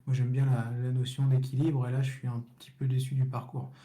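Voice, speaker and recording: A man's voice: medium pitch, flat delivery, brisk, warm tone. A man co-hosting a cycling podcast, giving frank opinions in a casual, repetitive way. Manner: opinionated, frank, repeats words as he goes.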